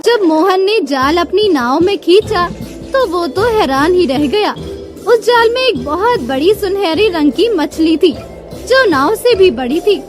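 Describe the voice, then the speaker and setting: Very high-pitched female storyteller, animated. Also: a little slow. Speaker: narrator. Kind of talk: scripted children's moral story narration. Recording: loud music bed behind voice. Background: music.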